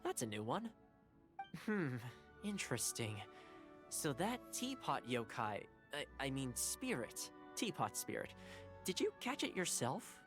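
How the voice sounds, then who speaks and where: A woman's voice: very deep voice, big pitch swings, measured pace, music in the background, full character voice. A woman voicing a cheerful, easygoing young companion in a video game, chatty, friendly and considerate. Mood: curious, friendly.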